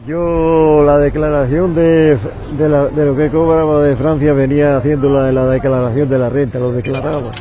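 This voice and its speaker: A man's voice: fairly high pitch, little pitch movement, moderate pace, few pauses, dark tone. An older man, a returned emigrant, recounting his working years in a plain, matter-of-fact way outdoors. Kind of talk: casual street chat.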